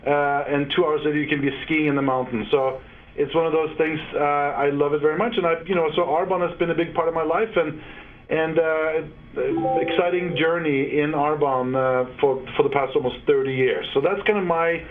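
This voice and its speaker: A man, a guest on a video call who speaks haltingly with many fillers. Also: fairly high pitch, steady tone, slight background noise. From Norway. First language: Norwegian. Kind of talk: interview over a video call. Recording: tinny internet call line.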